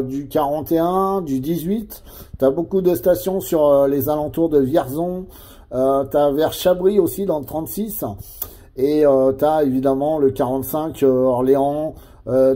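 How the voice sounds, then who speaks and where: Man with very dark, mellow voice. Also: fairly high pitch, fairly even intonation, moderate pace. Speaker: CB radio operator. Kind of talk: casual radio chat.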